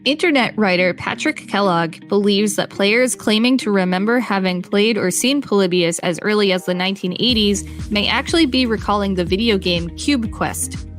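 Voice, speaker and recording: A woman reads an encyclopedia article for a podcast in a clear, measured voice at a middle pitch. She breaks off now and then for a short reaction. Faint music sits quietly beneath her. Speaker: podcast co-host reading articles. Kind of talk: reading an encyclopedia article aloud with asides.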